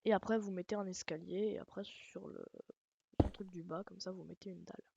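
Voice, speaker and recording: Gravelly woman with an Alsatian accent. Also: on the low side, steady tone, a little fast, dry recording, muffled recording. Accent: Alsatian French. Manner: rambling, swears, self-mocking jokes. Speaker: gaming channel host. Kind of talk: casual game tutorial commentary. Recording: loud background noise.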